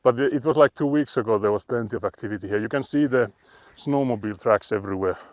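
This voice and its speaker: A man's voice: mid-range voice, fast, darkish tone, no room sound. A man with a Finnish accent, chatting casually and laughing often while live-streaming on a frozen lake. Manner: chatty, laughs often, reads chat aloud.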